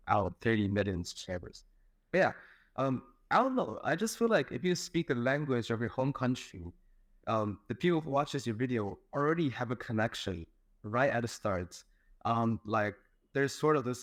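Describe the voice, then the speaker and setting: A man's voice: medium pitch, no room sound. A young man from China, chatting as a guest in a hesitant way, full of fillers. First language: Chinese. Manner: hesitant, heavy use of "like" and "um" fillers. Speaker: guest, content creator. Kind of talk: casual online interview chat.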